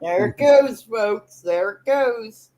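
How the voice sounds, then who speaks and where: A woman's voice: fairly high pitch, expressive, slow pace, mellow, muffled recording. A woman hosting a casual livestream, bantering and teasing, recorded with some background noise.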